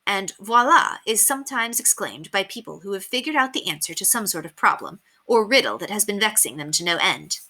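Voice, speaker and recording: A woman reading a children's book aloud as an expressive, emphatic storyteller. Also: medium pitch, fairly quick, few pauses. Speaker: read-aloud reader.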